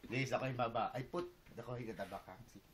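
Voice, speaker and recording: A man's voice: mid-range voice, fast talker, over a noisy crowd. A man from the Philippines with a Filipino accent, performing street magic for passersby in casual, repetitive broken English, coaxing his audience along. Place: outdoors on a city street. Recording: noisy street with traffic.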